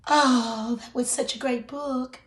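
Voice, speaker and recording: A woman hosts a read-aloud for young children in a slightly high voice. She speaks very slowly and deliberately, keeping her explanations simple, as one would for preschoolers. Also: steady tone.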